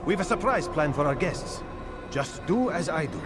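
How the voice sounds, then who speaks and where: An actor playing a calm, purposeful assassin in a video game, giving terse instructions to comrades. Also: male voice, on the high side, normal speed, very noisy, close to an everyday voice. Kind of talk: scripted video game dialogue.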